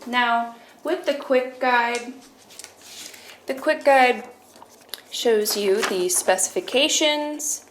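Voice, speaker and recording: Woman, somewhat high pitch, casual product demo, step-by-step explanations, audible background noise. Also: extremely slow, pauses often. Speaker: product review presenter.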